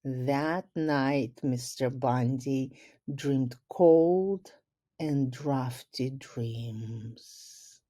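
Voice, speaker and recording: A woman reading a picture book aloud like a teacher, in a deliberate, explanatory way. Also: sits low, mellow, no room sound, studio quality. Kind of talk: storybook read-aloud with brief explanations.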